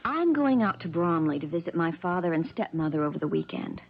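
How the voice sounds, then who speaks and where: A spoiled young heiress with a low, husky voice, cold and commanding. She schemes with calculated control in a 1970s radio play, her delivery swinging widely in pitch, on a dull old broadcast recording. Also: big pitch swings, fairly quick. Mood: controlled, calculating. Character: cold, spoiled rich young woman plotting murder. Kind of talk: 1970s radio drama acting.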